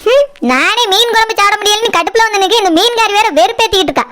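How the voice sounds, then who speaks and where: A performer voicing a grumpy, sharp-tongued cartoon village woman, sarcastic and scolding, with a Kanyakumari Tamil accent. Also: extremely high pitch, very fast, very noisy. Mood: irritated. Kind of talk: comic cartoon dialogue.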